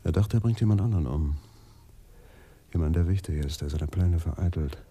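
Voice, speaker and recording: An actor playing a weary, terse, introspective police adjutant in a radio drama, prone to muttering. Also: male voice, deep voice, a little fast, dark tone, slightly rough, soft background music. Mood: pondering.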